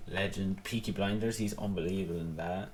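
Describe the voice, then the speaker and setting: A man's voice: low voice, steady tone, a little fast, a crowd in the background. A man co-hosting a podcast, chatting casually about films, laughing often and filling gaps with "um" and "like". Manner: laughs often, many fillers and restarts. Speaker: podcast co-host. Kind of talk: casual film chat.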